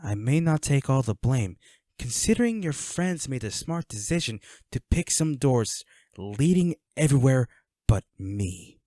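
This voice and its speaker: A man's voice: mid-range voice, big pitch swings, measured pace, mellow, no room sound, clean studio sound. A man playing a flirty, smug villain henchman in a roleplay audio drama, taunting a captive in a teasing, coolly controlling manner. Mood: possessive, smug.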